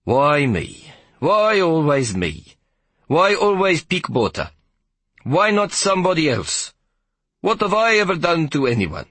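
A sour male train conductor, nasal with self-pity and quick to blame, put on by a man. The voice sits high and pushes loud, with a husky edge, recorded close and dry. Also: sing-song, dry recording, full character voice. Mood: whining. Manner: whiny, accusing, self-pitying.

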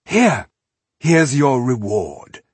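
A man's voice: mid-range voice, big pitch swings, drawn-out words, husky, no room sound, pitched above the performer's own voice, full character voice. A man voicing a suave, cruel elf-like warlord in a fantasy audiobook, velvety and mockingly polite in a conversational way. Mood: smug.

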